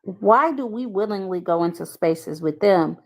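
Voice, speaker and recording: A woman's voice: medium pitch, expressive, unhurried. A woman co-hosting a casual livestream, bantering with opinions. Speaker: livestream co-host.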